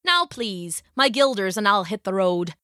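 A woman's voice: mid-range voice, animated, unhurried, bright, forceful tone, no echo, clean studio sound. A woman voicing a jaunty male piper, a wandering storybook trickster who speaks in sing-song rhyme. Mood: jaunty, confident. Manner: sing-song rhyming delivery.

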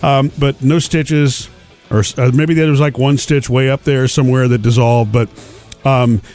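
A man's voice: mid-range voice, fairly even intonation, moderate pace, darkish tone, faint music behind. A man hosting a show, chatting casually and rambling with restarts, his voice slightly nasal. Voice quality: slightly nasal after sinus surgery. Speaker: radio show host. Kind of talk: casual chat.

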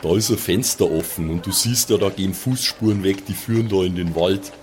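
A man's voice: low voice, brisk, a little mellow. A man, chatting casually as a player in an improvised role-playing game. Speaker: tabletop player.

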